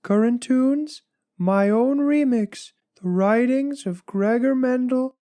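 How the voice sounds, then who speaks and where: A woman's voice: fairly high pitch, slow, mellow. A woman playing a humbled, put-upon oddball blogger, telling stories in a plaintive, conversational dramatic reading of a comic blog.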